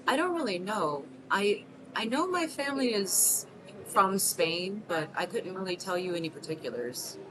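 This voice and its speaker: A woman in her thirties from Mexico City, acting a single mother who answers unheard questions haltingly, with many ums and restarts. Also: mid-range voice, expressive, lightly acted. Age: 30s. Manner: hesitant, filled with ums and restarts. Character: 38-year-old single mother, soft-spoken and self-conscious. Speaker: interviewee in a short film. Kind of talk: scripted film monologue, answering unheard questions. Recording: noticeable background hiss.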